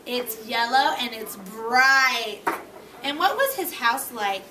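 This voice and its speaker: A woman with a very high voice reads aloud to young children as their teacher. She speaks slowly, pausing to ask and repeat simple questions. The recording is echoey and distant, with clear noise in the classroom. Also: lively intonation. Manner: slow, questioning, repeats and prompts. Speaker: early-grade teacher. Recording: echoey room, distant mic, noisy.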